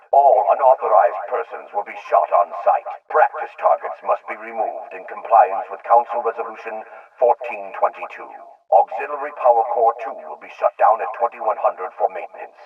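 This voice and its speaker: An actor playing a cold, authoritarian military security announcer giving formal commands over a filtered public-address speaker in a video game. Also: male voice, on the low side. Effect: loudspeaker radio filter. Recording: tinny band-limited PA speaker, distant.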